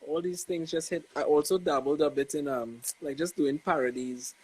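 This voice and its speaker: A man's voice: on the high side, fairly even intonation, moderate pace, darkish tone, rough recording. A young man with a Trinidadian accent, a gospel artist speaking reflectively and casually in an interview. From Trinidad and Tobago. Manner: laid-back, reflective, slangy with many "you know"s. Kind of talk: casual interview over a live stream.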